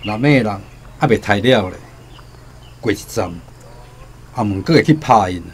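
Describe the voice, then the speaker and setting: A man's voice: lowish voice, expressive, normal speed, a little hiss or hum, close to an everyday voice. A Taiwanese-accented actor playing a wary village headman in a radio drama, talking in a probing, conversational way. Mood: casual, guarded. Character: wary male village headman hunting a wanted killer.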